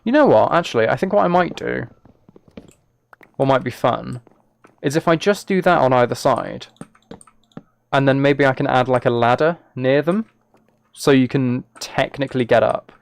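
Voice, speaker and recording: A man's voice: medium pitch, animated, moderate pace, vocal fry, long pauses. A man chatting casually on a live game stream, thinking aloud as he plays and talking to his viewers.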